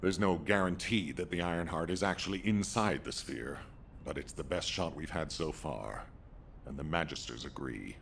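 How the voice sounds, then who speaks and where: A grave male commander in a video game. His voice is very deep and gravelly. He speaks pragmatically, over a faint noise. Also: normal speed, fairly bright tone, exaggerated voice. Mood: grave, resolute. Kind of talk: scripted game dialogue.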